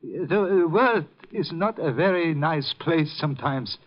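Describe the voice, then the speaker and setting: An actor playing a gentle, distraught old painter in a 1950s radio drama, pleading and hesitant. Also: male voice, fairly high pitch, expressive, slow. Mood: sad, apologetic. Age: older. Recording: muffled vintage 1950 radio recording.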